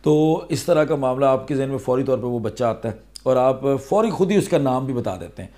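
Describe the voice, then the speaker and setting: A man's voice: mid-range voice, lively intonation, a little fast, dark tone. A man with a Pakistani accent speaking as a vlogger, explaining things to viewers conversationally and step by step. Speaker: vlogger giving legal awareness advice. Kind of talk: explanatory vlog talking to viewers.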